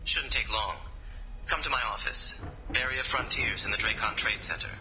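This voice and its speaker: A man's voice: fairly low pitch, moderate pace, pushed and bright, low-quality recording. A man playing a cocky but uneasy tech specialist for hire in a video game, talking informally with a boastful, wary edge.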